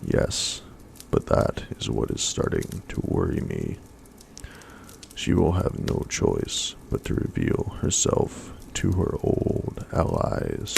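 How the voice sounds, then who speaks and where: Ancient gentle dragon, male, very deep and gravelly, hushed storybook read, put on by a man. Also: sing-song, slow, a little hiss or hum, exaggerated voice. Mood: worried. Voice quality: soft-spoken.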